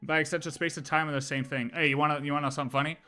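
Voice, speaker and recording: Bright, forceful man livestreaming casually. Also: fairly high pitch, flat delivery, racing, soft background music. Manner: emphatic, slangy, repeats phrases. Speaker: game livestreamer.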